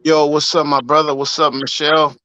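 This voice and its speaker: Bright-voiced male caller, lo-fi line. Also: on the high side, level tone, a little fast. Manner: friendly, encouraging, calls everyone brother. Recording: dull lo-fi call line with faint noise.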